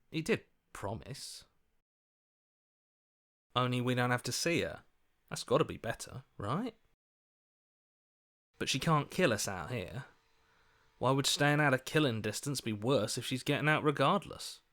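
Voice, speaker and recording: A man's voice: medium pitch, animated, measured pace, pauses often, fairly bright tone. A man playing an earnest, hopeful hero's inner voice in a video game, questioning and pleading as he talks. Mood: reasoning, incredulous.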